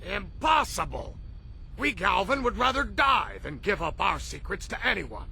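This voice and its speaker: A small, cranky alien elder from a cartoon game. He speaks formally and sternly, with a creaky edge and a sharp, forceful tone, as if forever scolding. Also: sits high, big pitch swings, measured pace, slight background noise, heavily acted. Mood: outraged.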